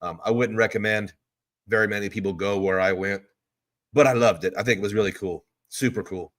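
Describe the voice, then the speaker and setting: A man's voice: fairly low pitch, steady tone, fairly quick, a bit bright. A man, hosting a live stream from a hotel room. He rambles casually and answers viewer questions one after another.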